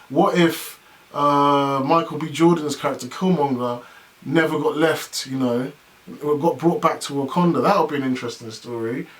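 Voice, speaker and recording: A man's voice: fairly high pitch, low-quality recording. A man giving casual, off-the-cuff reaction commentary as an online video creator, blunt and opinionated, fond of rhetorical questions. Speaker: YouTube commentator.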